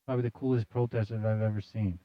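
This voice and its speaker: A man's voice: fairly low pitch, fairly even intonation, quick pace, dry recording, dull audio. A man hosting a live news show, casually reading articles aloud with jokey, slangy, sardonic commentary.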